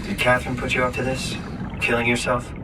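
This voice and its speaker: A man's voice: medium pitch, completely flat delivery, quick pace, very noisy, natural voice. A man playing a bewildered, hesitant young man in a sci-fi video game, asking questions. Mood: careful. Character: bewildered ordinary young man in a synthetic body. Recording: loud machinery and ambience behind voice.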